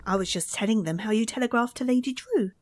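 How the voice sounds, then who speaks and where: A woman's voice: a little high, fast talker, background noise, natural voice. A woman playing a professor's earnest, polite young daughter in an old-style radio mystery. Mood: eager, helpful.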